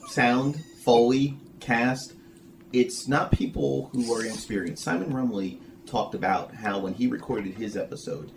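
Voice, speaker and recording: A man hosting a conversational interview, asking long, emphatic questions. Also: on the low side. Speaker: interviewer, podcast host. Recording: noisy room ambience.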